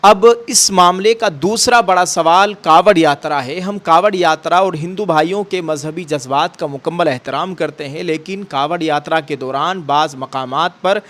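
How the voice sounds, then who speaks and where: A man's voice: fairly high pitch, normal speed, few pauses, fairly bright tone. A man presenting scripted news commentary to viewers in a formal, emphatic manner, often posing rhetorical questions.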